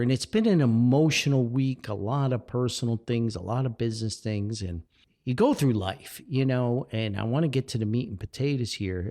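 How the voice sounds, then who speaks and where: A man's voice: mid-range voice, vocal fry. A man hosting a podcast in a casual, rambling monologue, folksy and effusive, often exclaiming and digressing. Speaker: podcast host.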